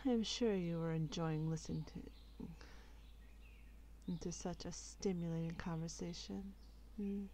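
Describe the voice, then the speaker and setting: Woman doing a low, imperious noble lady. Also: fairly even intonation, unhurried, long pauses, warm tone, slight background noise. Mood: scornful, commanding. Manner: imperious, clipped, condescending. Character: haughty, cold, scornful noble lady. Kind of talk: ASMR roleplay acting.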